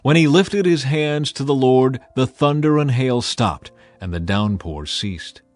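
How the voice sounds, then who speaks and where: A man's voice: mid-range voice, expressive, a little slow, no room sound. A man narrating a dramatized scripture audiobook in a solemn, emphatic storytelling manner.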